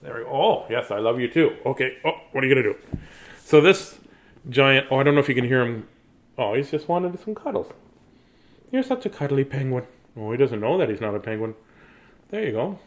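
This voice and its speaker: A man's voice: medium pitch, expressive, a little fast, pauses often. A man hosting a live bedtime reading, chatting casually in a rambling, self-deprecating way. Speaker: bedtime story reader and host. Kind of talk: casual live chat to viewers.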